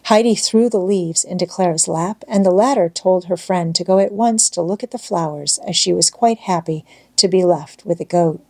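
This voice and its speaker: A woman's voice: on the low side, normal speed, hardly any pauses, darkish tone, husky. A woman reading a children's storybook aloud as scripted audiobook narration.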